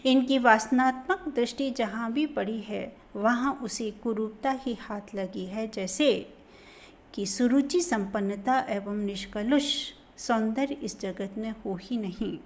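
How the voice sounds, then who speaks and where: Slow, formal woman reading a lecture. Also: on the high side. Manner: slow, deliberate formal reading, dropping softly at sentence ends. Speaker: college Hindi lecturer. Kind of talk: reading a lecture text aloud.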